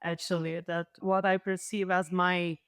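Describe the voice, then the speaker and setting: A woman's voice: lowish voice, little pitch movement, unhurried, clear, resonant tone, no echo, studio quality. A woman with a Balkan accent, a native Serbo-Croatian speaker, talking as a thoughtful, hesitant researcher in a panel discussion. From former Yugoslavia. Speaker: panel guest, literature researcher.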